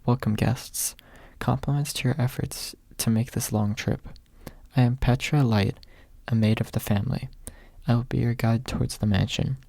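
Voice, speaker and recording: Man reading as earnest little maid, softly creaky. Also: mid-range voice, fairly even intonation, moderate pace, dry recording. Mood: formal welcome. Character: petite young maid girl, caring and dutiful.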